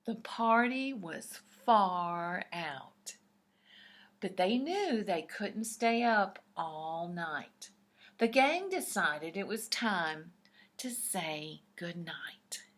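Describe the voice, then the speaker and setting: A woman reading a picture book aloud to young children in an expressive, deliberate, explanatory way. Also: lowish voice.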